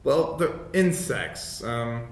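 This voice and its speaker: A man's voice: big pitch swings, slow. Hesitant male player in an echoey room. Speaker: tabletop game player. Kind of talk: casual improvised roleplay chat. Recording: roomy echo, faint background noise, rumble.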